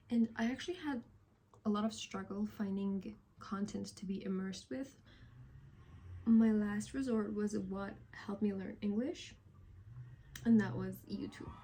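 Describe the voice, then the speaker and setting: Casting: woman in her twenties, native Persian speaker, light accent, mid-pitched, rambling and chatty vlogger, faint room noise. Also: dark tone. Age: 20s. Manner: chatty and rambling, self-deprecating asides. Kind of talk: casual chat to camera. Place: indoors at home at golden hour, with iced coffee.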